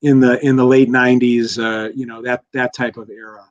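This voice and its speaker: A man's voice: medium pitch, level tone, normal speed. Rambling man on a lo-fi call. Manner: rambling, many restarts and fillers. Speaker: guest commentator. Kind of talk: casual podcast discussion. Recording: slightly dull lo-fi audio.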